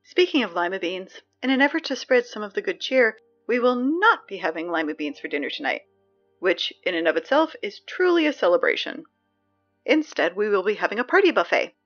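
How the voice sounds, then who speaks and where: A woman playing Sammy, an excitable teen girl reading the school announcements in an audio drama, chatty with sarcastic asides. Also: medium pitch, a little fast, dull audio, faint music behind.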